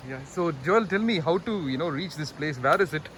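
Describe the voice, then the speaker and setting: Excited vlogger guy; quick, high-pitched, recorded out on a trail. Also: slight background noise, poor audio. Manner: excited, chatty interviewer. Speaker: vlogger host. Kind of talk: casual trekking vlog chat. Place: outdoors on a forest trail.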